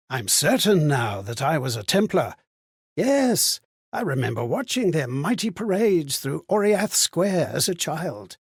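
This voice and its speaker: Casting: a wistful, memory-lost ghostly knight for a fantasy game; raised pitch, husky, solemn and old-fashioned, very dry sound. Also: male voice, dry recording, full character voice. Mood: solemn, resolute. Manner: solemn, archaic, ponderous phrasing. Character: haunted, amnesiac former Templar, wistful ghostly man. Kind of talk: dramatic video game character monologue.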